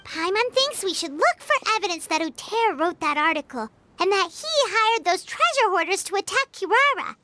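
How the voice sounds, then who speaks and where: Squeaky, excitable little fairy companion. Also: female voice, normal speed, hardly any pauses, no room sound, heavily acted. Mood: earnest. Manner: refers to self in third person, exclamatory. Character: tiny excitable female fairy-like companion, childlike and earnest. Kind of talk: animated video game voice acting. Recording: music behind the voice.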